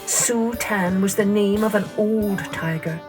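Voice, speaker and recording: Woman telling kids stories, really slow and expressive, lowish voice, some music. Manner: expressive, measured storytelling. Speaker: storyteller, podcast host. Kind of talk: scripted children's story narration.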